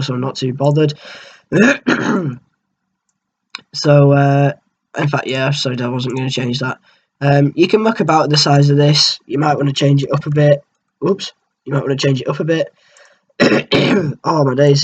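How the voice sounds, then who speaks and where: A young man with a cold and a tickly throat, talking through a software tutorial at his computer in a rambling, hesitant way with lots of ums. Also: mid-range voice. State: has a cold, keeps clearing his throat. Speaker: gaming channel host. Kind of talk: casual screen-recorded software tutorial.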